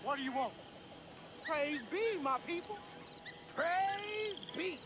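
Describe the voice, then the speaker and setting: Rambling male preacher, grandiose and giddy, swinging pitch, drawn-out phrases, game drama amid loud background noise. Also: lively intonation, full character voice. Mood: giddy preaching. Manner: slow, halting, grandiose. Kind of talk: theatrical video game acting.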